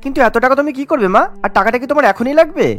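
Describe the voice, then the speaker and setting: A man doing a high, mild grown son. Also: lively intonation, racing, a bit bright, faint music behind. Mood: obliging. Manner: obliging, mild. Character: grown son, agreeable and easygoing.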